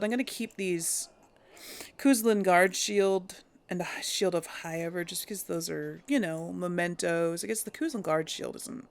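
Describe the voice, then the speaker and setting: A woman's voice: on the low side, gravelly. A woman hosting a casual gameplay video, chatting and thinking aloud with frequent giggles. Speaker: let's play host.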